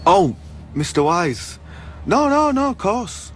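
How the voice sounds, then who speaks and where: A man's voice: high-pitched, big pitch swings, slow pace, heavily acted. An actor playing a flustered, evasive employee on the phone to his boss, hesitant and placating, in naturalistic radio drama. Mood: eager, placating. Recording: faint noise and ambience.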